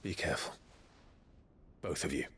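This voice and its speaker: An actor playing a grave, resolute warrior leader in a fantasy game, speaking in a terse, decisive, low-key way. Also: male voice, moderate pace, a bit bright, close to an everyday voice. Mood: urgent, resolute. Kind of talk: cinematic game dialogue.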